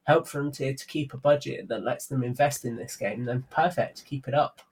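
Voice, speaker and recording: A man's voice: medium pitch, moderate pace, darkish tone, breathy edge. A man speaking as a guest on a podcast, in casual conversation.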